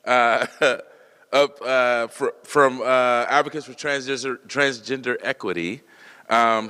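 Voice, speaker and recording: A man's voice: medium pitch, sharp tone, clear, resonant tone, big-room sound. A man speaking off the cuff as emcee at an activist press conference, in an informal, folksy, rallying way. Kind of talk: impromptu rally speech.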